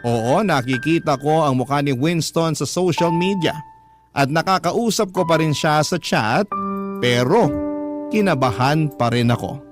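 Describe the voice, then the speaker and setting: A man's voice: a little high, unhurried, natural voice. A man reading a first-person radio love story as a scripted narration, with music behind him. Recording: music bed under the voice. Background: background music.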